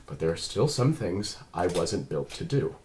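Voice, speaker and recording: Guy doing a reserved android detective, really low voice, formal and careful, bit of noise behind. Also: big pitch swings, a little mellow. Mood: guarded, firm. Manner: measured, formal, precise phrasing. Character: earnest, reserved male android police detective partner. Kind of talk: table read of a drama script.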